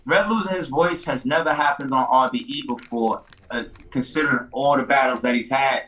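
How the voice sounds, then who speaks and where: A man's voice: medium pitch, brisk, sharp tone. Laid-back man with a muffled sound. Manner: laid-back, repeats "you know what I'm saying". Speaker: battle league owner, guest. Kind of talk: casual podcast chat.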